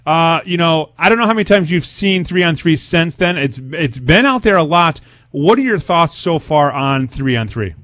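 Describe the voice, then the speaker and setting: A male sports show host with a slightly high voice, talking conversationally as he runs an interview. The recording is a little dull and lo-fi. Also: animated, moderate pace, a bit bright. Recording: muffled, roomy sound.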